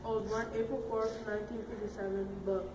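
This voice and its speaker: A woman's voice: mid-range voice, level tone, fast talker, natural voice. A woman playing a ruthless, boastful male drug lord, stating things in a matter-of-fact way. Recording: echoey room, far from mic, noisy.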